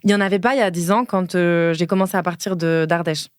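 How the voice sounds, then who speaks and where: A woman in her late twenties from Ardèche, a podcast guest who talks thoughtfully with many pauses and fillers. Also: on the low side, steady tone, clear, resonant tone, no echo, clean studio sound. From Ardèche, France. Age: late 20s. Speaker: guest, feminist speaker and lawyer. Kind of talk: casual podcast conversation.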